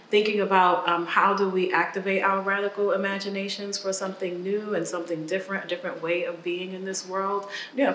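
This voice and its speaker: A woman's voice: mid-range voice, fairly bright tone. A middle-aged American-accented woman introducing herself as an artist in a panel discussion. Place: gallery room, indoors. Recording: echoey room, faint background noise.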